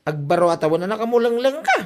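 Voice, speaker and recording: A man's voice: high voice, animated, quick pace. A man with an Ilocano accent playing an exasperated husband who scolds and complains at his spendthrift wife in a comedy drama. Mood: scolding. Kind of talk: comedy radio drama acting. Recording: music behind the voice.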